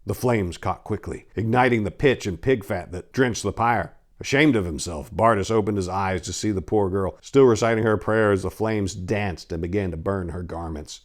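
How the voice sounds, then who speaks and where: A male storyteller with a fairly low voice reads dark fantasy prose. His delivery is grave and theatrical, as in a dramatic audiobook reading. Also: moderate pace, few pauses. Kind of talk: dramatic scripted fantasy narration.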